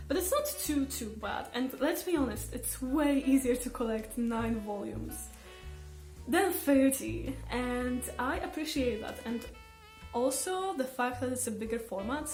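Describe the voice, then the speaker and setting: A woman's voice: high voice, darkish tone. A woman vlogger chatting casually and enthusiastically to her audience, with emphatic phrasing. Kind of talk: casual chat to camera about favourites. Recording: roomy echo, faint music behind. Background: faint background music.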